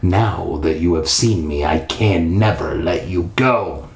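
A man voicing a cold, sinister ghost boy in a story reading, speaking softly and slowly with menace. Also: deep voice, pitched below the performer's own voice. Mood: quietly menacing. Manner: soft, slow, menacing delivery. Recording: roomy echo.

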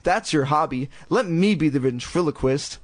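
A man's voice: on the high side, expressive, normal speed, natural voice. A man voicing an indignant young girl, a jealous sister who whines and protests in a storybook reading. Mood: angry. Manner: whiny, heated, protesting.

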